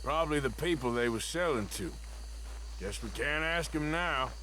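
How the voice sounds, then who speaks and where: Terse American outlaw in a Western game. Dry humour, slightly raised pitch, noisy open-air backdrop. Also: male voice, expressive, normal speed, pushed and bright. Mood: dry, wry. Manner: dry, understated. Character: laconic, wry, tough male outlaw gunslinger. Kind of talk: dramatic video game acting.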